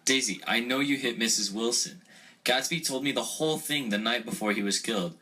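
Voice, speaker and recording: An earnest young questioner, played by a young man with a bright, husky voice. He presses his questions firmly and politely. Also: medium pitch, fairly quick. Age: young adult.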